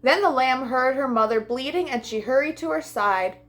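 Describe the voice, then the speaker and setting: A woman reading a children's storybook aloud as a storytime host, with expressive, deliberate delivery for young listeners. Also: sits high.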